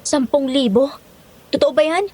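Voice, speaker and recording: A woman's voice: sits high, normal speed, darkish tone, lightly acted. An actress playing a wary, questioning female cook in need of work, in a horror audio drama. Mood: wary, curious.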